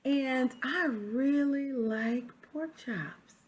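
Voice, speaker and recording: A woman's voice: sits high, drawn-out words. A woman teacher chatting to children during a read-aloud, often putting questions to her listeners. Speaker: sixth grade teacher reading aloud.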